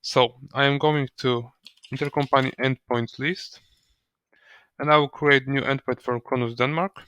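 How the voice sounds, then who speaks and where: Bright, clear-voiced man giving halting software tutorial. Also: medium pitch, steady tone, highs cut off. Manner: halting, hesitant delivery with repeated words. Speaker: software trainer. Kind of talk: step-by-step software tutorial narration.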